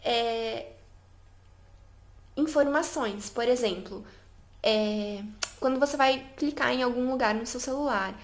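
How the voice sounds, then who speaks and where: A woman's voice: on the high side, slow, pauses often. A woman chatting casually to camera about learning languages, with many fillers and an upbeat, talkative manner. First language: Portuguese. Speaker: language vlogger.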